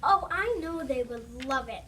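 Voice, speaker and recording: Kid reading a play part out loud, high voice, kinda far from mic. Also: expressive. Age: child. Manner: scripted, deliberate recital. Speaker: student reader. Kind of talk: reader's theater reading aloud. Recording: distant mic, noisy room ambience.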